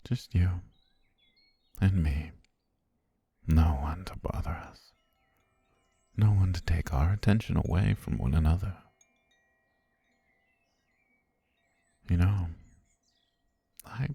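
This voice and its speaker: Man acting a very deep, breathy, menacing butler. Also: expressive, dry recording, exaggerated voice. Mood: possessive, tender. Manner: genteel, unhurried, softly menacing, often near whisper. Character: obsessive, sweetly menacing butler holding a princess captive. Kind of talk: intimate ASMR roleplay.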